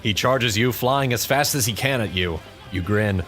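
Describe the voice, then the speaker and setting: A man's voice: on the low side, normal speed, a bit bright, soft background music. A man narrating a scripted audio drama as storyteller.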